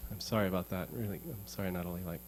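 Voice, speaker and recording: Earnest, rambling man with a lowish voice. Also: fairly even intonation, very fast, noisy background. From United States. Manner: rambling and earnest, repeats words as he thinks. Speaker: guitarist performing for an audience. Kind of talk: casual storytelling between songs. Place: music showroom.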